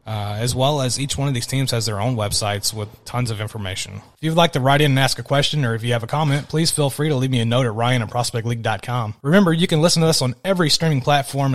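A man hosts a sports podcast, thanking his guest and running through an upbeat, promotional sign-off. He talks quickly, moving from one plug to the next at a brisk clip. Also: medium pitch, no echo, studio quality. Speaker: podcast host. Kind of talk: podcast interview wrap-up.

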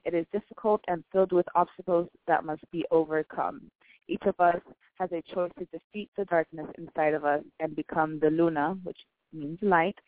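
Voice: medium pitch, steady tone, normal speed. Hesitant host on a tinny phone line. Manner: hesitant, many fillers and restarts. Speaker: interview host. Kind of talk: casual interview.

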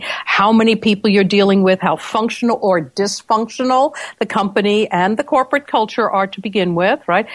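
A woman's voice: mid-range voice, dry recording. A woman hosting a business podcast who explains her points conversationally and keeps checking in with tag questions. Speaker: podcast host.

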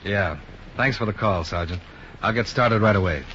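A man's voice: lowish voice, normal speed, pushed and bright. A man playing a blunt, cool-headed insurance investigator in a 1950s radio detective drama, with dry, clipped delivery. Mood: easygoing. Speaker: lead actor. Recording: dull, noisy 1950s radio recording.

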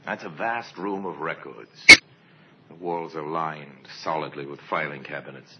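Very deep-voiced grave crime narrator. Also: male voice. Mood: grave, measured. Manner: deliberate, portentous storytelling. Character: grave, measured male narrator of true crime tales. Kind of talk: 1950s radio crime drama narration. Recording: muffled, noisy old radio recording.

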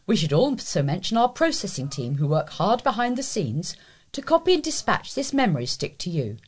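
A British woman reads local news from a script as a volunteer newsreader. Her voice has a husky edge, and her intonation is lively, rising and falling widely across each sentence. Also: medium pitch, moderate pace.

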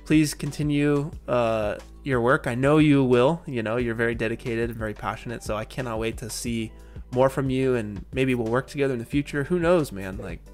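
A man's voice: mid-range voice, moderate pace, a little mellow, faint music behind. A young man hosting a live online interview, casual and enthusiastic, with laid-back slang. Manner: upbeat, gushing praise, laid-back slang. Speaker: livestream host.

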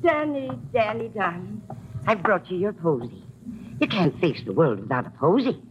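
Cheeky, lilting old flower seller. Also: female voice, fairly low pitch, big pitch swings, moderate pace. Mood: doting, saucy. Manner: theatrical, coaxing, saucy. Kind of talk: 1950s radio drama acting. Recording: muffled old radio recording, faint noise.

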